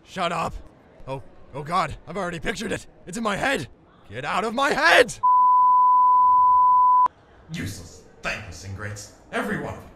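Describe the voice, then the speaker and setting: A man's voice: sits high, big pitch swings, normal speed, long pauses, heavy background noise. A man voicing an arrogant, hot-tempered teenage athlete, pompous and melodramatic, in a comedic anime parody. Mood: exasperated. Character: brooding, arrogant, hot-tempered teenage male volleyball player. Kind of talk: melodramatic comedic fan-dub acting. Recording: music under the voice.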